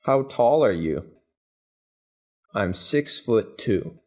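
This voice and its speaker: A man reading English practice questions and answers, each said twice in an even, deliberate way. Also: on the low side. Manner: even, deliberate, carefully spaced delivery. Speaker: English teacher. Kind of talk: slow scripted phrase drills, each said twice.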